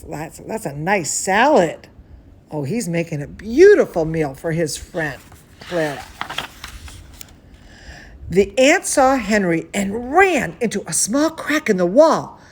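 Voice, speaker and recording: A woman hosting a children's bedtime story, reading aloud with affectionate, chatty asides and exclamations for young listeners. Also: mid-range voice. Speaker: children's bedtime story host and cooking teacher.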